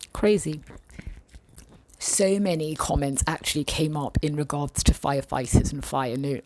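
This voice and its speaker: A woman vlogger chatting casually and thoughtfully with her viewers, often pausing and restarting her sentences. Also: low-pitched, background noise. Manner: thoughtful, hesitant, many fillers and restarts. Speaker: vlogger reading viewer comments. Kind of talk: casual chat.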